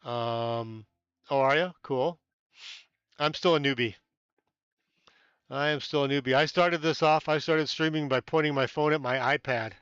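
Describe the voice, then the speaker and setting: A man's voice: mid-range voice, steady tone, a little slow, long pauses, fairly bright tone, clean tone, dry recording, lo-fi sound. A man hosting a casual amateur astronomy live stream from inside a small tent, chatting with viewers, thanking them by name, rambling with many fillers, and a little stuffy-nosed.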